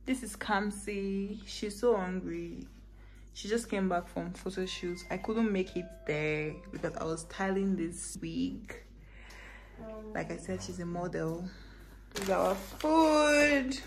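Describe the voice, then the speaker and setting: A woman's voice: fairly low pitch, slow, a little hiss or hum, low-quality recording. A young woman vlogging on the move, talking straight to her viewers and filming herself with a phone at arm's length. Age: young adult. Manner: chatty, addresses viewers directly. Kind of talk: casual vlog chat. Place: on the move, streets, car, metro and mall. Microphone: phone held at arm's length.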